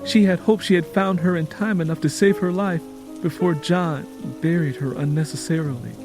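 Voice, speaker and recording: A man narrating a scripted story dramatically, in a solemn and deliberate manner. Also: on the high side, over music.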